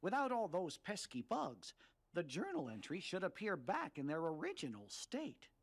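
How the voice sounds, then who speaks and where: A man voicing a small, earnest male cartoon cricket chronicler in a game, with a husky voice and deliberate delivery. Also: high-pitched, huge pitch swings, full character voice.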